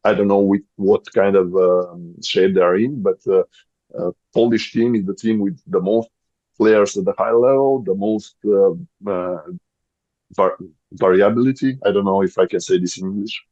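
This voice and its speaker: A man in his thirties with a Bulgarian accent, a podcast guest speaking English as a second language and often pausing to search for words. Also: low-pitched, fairly even intonation, dark tone, no room sound, highs cut off. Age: 30s. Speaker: guest, volleyball player. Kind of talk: podcast interview.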